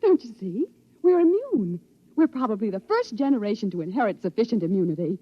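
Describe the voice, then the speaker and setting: An actress playing a young wife who survived atomic war, earnest and breathless, in 1950s radio drama style. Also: female voice, medium pitch, sing-song, a little fast, breathy edge. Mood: excited, insistent. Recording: muffled old radio recording.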